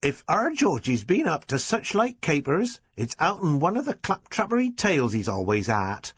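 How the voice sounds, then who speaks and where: A man voicing a rough-spoken country mother, scolding in broad rustic dialect. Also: on the high side, huge pitch swings, moderate pace, dry recording, voice pushed up, exaggerated voice. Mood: indignant.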